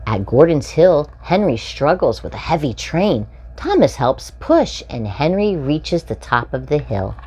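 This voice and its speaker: A woman in her forties reading a children's picture book aloud, expressively and with deliberate phrasing for young children. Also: sits low, sing-song, darkish tone, husky. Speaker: storytime host reading aloud. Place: small furnished room indoors.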